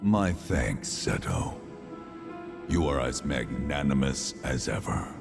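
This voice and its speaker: A man playing an ancient, solemn spirit leader in a video game, speaking in grandiose archaic oratory with a gravelly voice. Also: very animated, takes it slowly, over music, full character voice. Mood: solemn, imploring. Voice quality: deep, gravelly. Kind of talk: theatrical video game voice acting.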